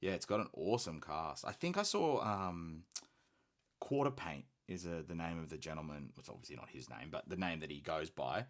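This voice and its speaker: A very deep-voiced man from Australia with an unusually posh accent hosts a live hobby stream. He talks in a relaxed, chatty way as he paints. He is quick to poke fun at himself. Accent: posh-sounding Australian. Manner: relaxed, chatty, self-deprecating. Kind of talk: casual live-stream chat while painting.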